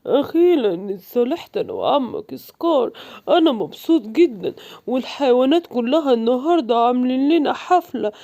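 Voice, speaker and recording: Woman playing a high-voiced kindly lion father. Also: big pitch swings, a little fast, warm tone. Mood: happy, affectionate. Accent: Egyptian.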